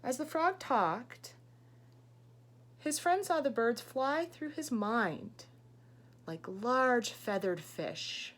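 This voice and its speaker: A woman teacher reading a picture book aloud expressively, pausing to ask young listeners questions and explain things. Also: on the high side, animated, a bit bright.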